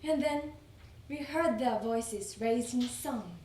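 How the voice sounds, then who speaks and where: A woman giving a theatrical, expressive reading of a wartime letter as part of a readers theater. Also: fairly high pitch, slow pace. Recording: roomy, distant mic, faint noise.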